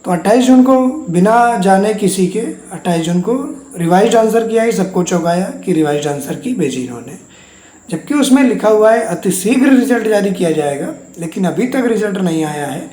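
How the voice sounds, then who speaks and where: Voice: mid-range voice, animated, moderate pace, warm tone. A speaker gives an informal update to exam candidates as a channel host, in a repetitive, reassuring way. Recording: echoey untreated room.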